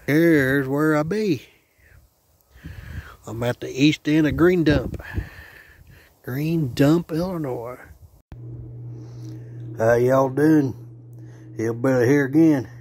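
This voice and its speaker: A man, hoarse and losing his voice, chatting in a folksy, joking way while vlogging on a phone held at arm's length. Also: mid-range voice, slow, long pauses, darkish tone, a little hiss or hum. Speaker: railfan vlogger. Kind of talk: casual folksy vlog. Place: outdoors by a car, then indoors at home.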